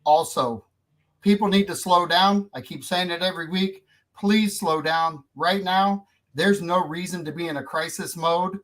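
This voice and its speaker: A man's voice: sits high, unhurried, a bit bright. A man hosting a community radio show, talking in a folksy, casual way with plenty of fillers and restarts. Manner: folksy, repetitive, many fillers. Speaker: radio host.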